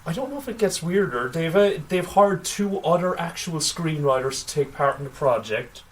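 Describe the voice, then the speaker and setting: A man co-hosting a casual film news podcast, sometimes reading items out, with halting delivery and wry asides. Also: on the high side, lively intonation. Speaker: podcast co-host. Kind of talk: casual film news discussion, partly read out. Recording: voice slightly distant from microphone.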